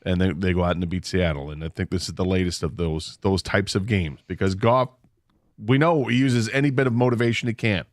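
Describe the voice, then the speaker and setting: A man co-hosting a sports talk radio show, arguing his points in a measured way. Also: deep voice, dry recording, clean studio sound. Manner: measured, argumentative. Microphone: studio microphone.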